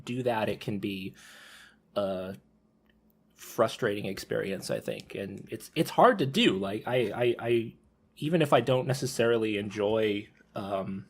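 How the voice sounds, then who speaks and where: A man with a somewhat low voice chatting casually on a podcast. He talks hesitantly, often restarting his sentences and filling pauses with "um" and "like.". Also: slightly rough. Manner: hesitant, many restarts and fillers. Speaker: podcast panelist. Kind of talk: casual discussion.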